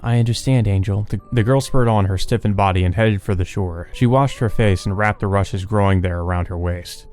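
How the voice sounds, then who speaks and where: A man with a fairly low voice narrates a visual novel. His storytelling is measured and subdued, and music plays behind him as he hosts a let's play. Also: a little mellow. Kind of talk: narration read aloud with brief asides.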